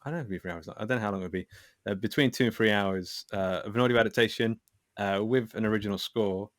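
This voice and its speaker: A man's voice: lowish voice, quick pace, no echo, studio quality. A man speaking as a podcast guest in an informal, self-effacing, chatty way. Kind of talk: casual chat.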